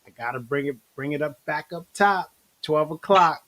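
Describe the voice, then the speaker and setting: A man's voice: on the high side, moderate pace, a bit bright, no room sound. Playful man hosting a casual chat. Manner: playful, laughing, restarts often. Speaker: host.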